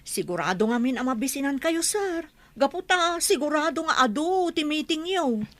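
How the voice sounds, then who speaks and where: A woman's voice: high voice, expressive, normal speed, fairly bright tone. A woman playing a dutiful, caring household helper in an audio drama, respectful and fussing. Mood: solicitous. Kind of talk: Ilocano audio drama acting.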